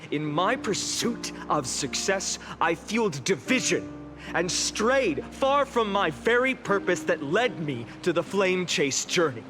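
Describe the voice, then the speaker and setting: A woman playing a solemn, resolute leader in a video game, giving a measured, earnest speech to a grieving city. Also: fairly low pitch, big pitch swings. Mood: solemn, reflective. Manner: measured, earnest, deliberate rhetorical delivery. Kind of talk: dramatic oratory, video game voice acting. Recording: orchestral music behind the voice.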